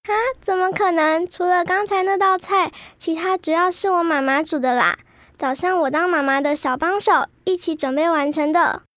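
Very high-pitched chatty little girl. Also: moderate pace, a bit bright, dry recording. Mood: eager, chatty. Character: chatty cheerful Filipino-Taiwanese schoolgirl, proud of Philippine food. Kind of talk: children's educational radio drama.

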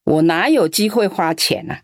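A woman's voice: on the low side, expressive, moderate pace, dry recording, studio quality, close to an everyday voice. A woman acting a lonely elderly grandmother in a radio drama, doting on the young but scolding her grown daughter in an aggrieved way. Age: older. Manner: fond and sighing, turns scolding and aggrieved.

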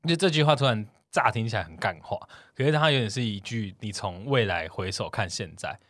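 Low-voiced young man chatting on a podcast. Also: expressive, fairly quick, a bit bright, no echo, studio quality. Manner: playful, teasing, often repeats phrases. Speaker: podcast co-host. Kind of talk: casual podcast chat. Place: podcast recording studio. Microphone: close studio microphone.

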